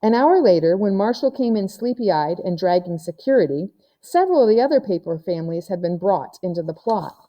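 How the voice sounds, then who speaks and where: A woman's voice: mid-range voice, expressive, a little fast, warm tone, lo-fi sound. A woman narrating a children's novel as a read-aloud storyteller. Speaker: audiobook reader.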